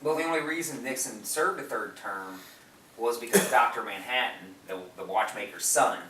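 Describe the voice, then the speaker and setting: Male podcast co-host, bright and keen, gushing in casual film chat, picked up from across a roomy space. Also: medium pitch, normal speed. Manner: enthusiastic, gushing. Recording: roomy, distant mic, background noise.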